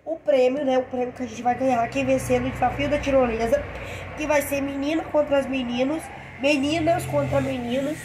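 A woman's voice: high voice, steady tone, a little fast, natural voice. A girl voicing a talkative girl doll with a cold who hosts a toy play and addresses the viewers, over a noisy home recording.

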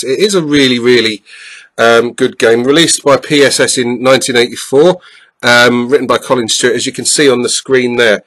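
A man's voice: mid-range voice, fairly bright tone, no echo. A middle-aged man with a stuffed-up nose, casually commenting over a retro game with lots of ums and uhs. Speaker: retro gaming YouTuber.

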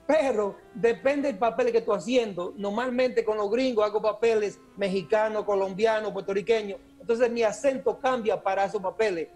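A middle-aged Dominican man with a Cibaeño accent, talking on a webcam video call as an actor guest, animated and often restarting his words. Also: sits high, normal speed, hardly any pauses, faint music behind. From Dominican, raised in the United States. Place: small room with posters indoors.

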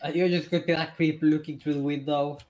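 A man's voice: fairly high pitch, level tone, fast, darkish tone. A man in casual game chat, talking in a teasing manner during police roleplay.

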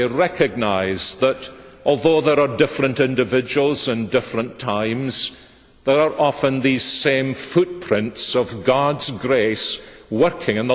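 Older male preacher, unhurried and deliberate, recorded dull in a big reverberant sanctuary. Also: medium pitch. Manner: measured, gesturing preaching cadence. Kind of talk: sermon. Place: large church sanctuary. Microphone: lectern microphone. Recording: dull church webcast audio with long reverb.

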